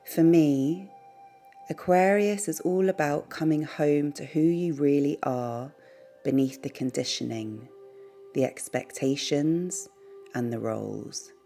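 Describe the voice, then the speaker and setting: A woman leading a guided meditation in a soothing, gentle, unhurried way, pausing between short phrases. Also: low voice. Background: background music.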